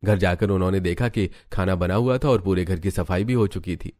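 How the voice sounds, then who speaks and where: A man's voice: low voice, fairly quick. A man reading scripted narration for a family cartoon story.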